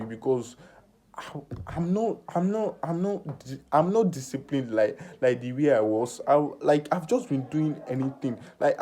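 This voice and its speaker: A nineteen-year-old man talking through a personal vlog in earnest self-talk, halting with many fillers and restarts. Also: medium pitch, warm tone. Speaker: vlogger.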